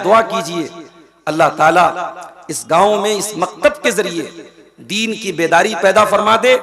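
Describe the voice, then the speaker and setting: A man preaching with a hoarse voice at a night village religious gathering, speaking in a measured, earnest way to the crowd. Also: high voice. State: hoarse, strained throat. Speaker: Islamic preacher. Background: crowd noise.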